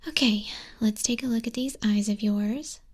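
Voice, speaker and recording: A woman playing an exacting female griffin flying instructor in a hushed, breathy, measured ASMR roleplay, close to a binaural microphone. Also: fairly high pitch, lightly acted. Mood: exacting, composed. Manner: measured, unhurried, strict examiner tone. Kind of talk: soft-spoken, whispery ASMR roleplay.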